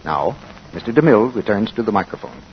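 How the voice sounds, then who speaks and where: Casting: male vintage radio commercial announcer, lowish, highly inflected, persuasive, on a muffled broadcast. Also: big pitch swings, fast talker, creaky, a little hiss or hum. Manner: persuasive, neighborly sales patter. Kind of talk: 1940s scripted radio advertisement.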